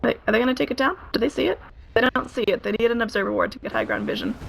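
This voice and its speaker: Hoarse, gravelly-voiced woman calling a match. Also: fairly high pitch. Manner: quick, excited play-by-play with filler pauses. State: hoarse, losing voice. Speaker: esports caster. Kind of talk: play-by-play game commentary.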